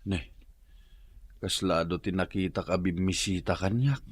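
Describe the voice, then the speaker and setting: A man's voice: deep voice, measured pace, breathy edge. A man acting as an earnest working husband in an Ilocano radio drama. He speaks with an Ilocano accent, in the plain dramatic style of a serial. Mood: weak, plaintive. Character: hardworking married man, earnest friend, hospital patient.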